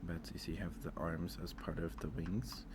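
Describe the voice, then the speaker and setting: A man's voice: deep voice, level tone, normal speed, background noise. A man chatting casually on an ASMR livestream, rambling hesitantly and repeating his phrases, close to the microphone.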